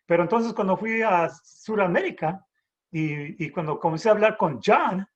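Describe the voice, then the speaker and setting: Halting husky man teaching the Bible. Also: high voice, lo-fi sound. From Texas border, raised in San Antonio. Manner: halting, many restarts and rhetorical "¿verdad?". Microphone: video call.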